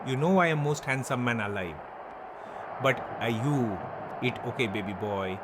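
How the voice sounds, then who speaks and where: A man's voice: moderate pace, noisy background, natural voice. A man playing a boastful, crude male wrestler with a Bangladeshi English accent, speaking broken English in a taunting wrestling promo. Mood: mocking. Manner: broken English, taunting, swings from yelling to low purring. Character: boastful male wrestler, self-proclaimed king, brash and crude.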